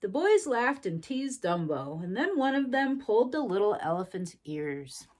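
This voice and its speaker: A woman's voice: medium pitch, big pitch swings, normal speed, darkish tone. A woman reading a storybook to young children expressively, adding sympathetic little asides.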